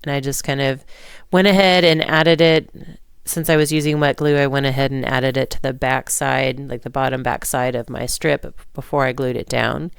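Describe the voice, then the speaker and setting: A woman narrating a card-making tutorial in relaxed, rambling steps, hoarse and stuffy from a cold. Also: rumbling low, normal speed, no room sound, studio quality. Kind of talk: voice-over craft tutorial.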